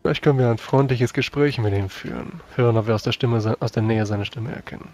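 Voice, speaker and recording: A man's voice: medium pitch, fast, a little mellow. A man voicing his knight character at a tabletop role-playing session, in casual in-character talk. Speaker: player.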